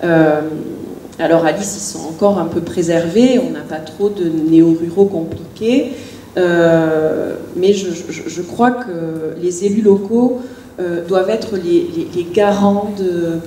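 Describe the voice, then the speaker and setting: Middle-aged woman mayor, low mellow voice, lots of euh and restarts, far from the mic in an echoey hall. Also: slow pace, slight background noise. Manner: hesitant, many restarts and fillers. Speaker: village mayor. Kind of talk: panel discussion answer.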